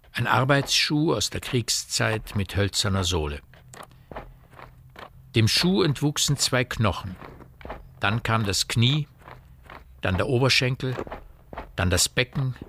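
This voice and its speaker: A man reading scripted literary narration for a radio play, telling the story in a measured way. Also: mid-range voice, vocal fry, sharp tone, a little hiss or hum.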